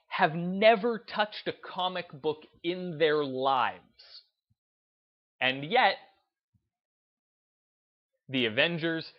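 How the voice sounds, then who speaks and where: Man giving emphatic commentary, dull lo-fi sound. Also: a little high. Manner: emphatic, deliberate, repeats phrases for emphasis. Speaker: gaming video essayist and host. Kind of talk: opinionated commentary, unscripted talk to listeners.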